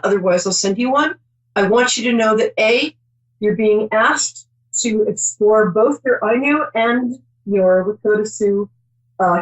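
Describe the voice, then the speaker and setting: Woman psychic host, middle-aged, reassuring, rambling, chatty, medium pitch. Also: low-quality recording. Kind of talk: call-in psychic reading.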